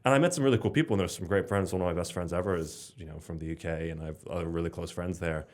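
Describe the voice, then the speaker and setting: A man's voice: low-pitched, very fast. A young man from Slovenia chatting casually as a podcast guest, rambling with lots of fillers and restarts. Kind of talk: casual conversation.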